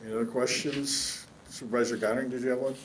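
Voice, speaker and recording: A man's voice: medium pitch, flat delivery. Man chairing an echoey public meeting. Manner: measured, with frequent fillers and restarts. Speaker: county board chair. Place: council chamber. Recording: echoey meeting room with faint hum.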